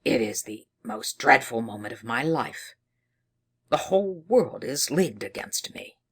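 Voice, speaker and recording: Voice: medium pitch, animated, unhurried, husky. A narrator voicing a stuffy, learned, anxious male counselor in a storybook, speaking formally. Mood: anguished.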